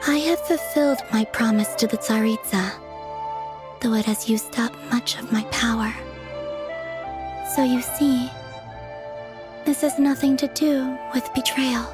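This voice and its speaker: A woman plays a sorrowful young moon goddess in a video game. Her voice is high, soft and breathy, and she speaks very slowly with gentle, solemn weariness. Music plays clearly behind her. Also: steady tone, close to an everyday voice. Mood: weary, melancholy. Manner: slow, wistful, solemn delivery. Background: background music.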